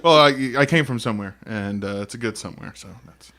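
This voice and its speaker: A man co-hosting a podcast in casual, joking banter with a halting, rambling delivery. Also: medium pitch, a crowd in the background. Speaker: podcast co-host.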